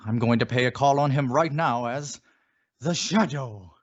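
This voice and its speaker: An actor playing a composed, resolute gentleman detective in an old-time radio thriller. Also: mid-range voice, normal speed, very noisy, close to an everyday voice. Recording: dull lo-fi audio.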